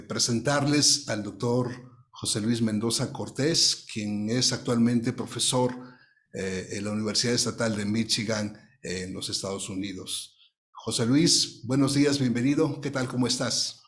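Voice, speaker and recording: A man speaks as an interview host at a middling pitch. His delivery is formal and courteous. He phrases things in a measured, deliberate way. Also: fairly bright tone.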